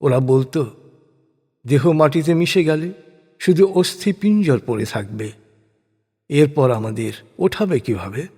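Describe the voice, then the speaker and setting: A man reading a translated scripture as solemn scripted narration, in a measured, declamatory way. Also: fairly high pitch, mellow, husky. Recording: long hall-like reverb on the voice.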